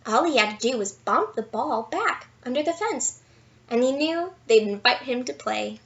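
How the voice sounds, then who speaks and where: A woman reading a children's picture book aloud in an expressive, playful storytelling style with dramatic emphasis. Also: on the high side, normal speed, lo-fi sound. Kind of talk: animated bedtime story read-aloud with asides.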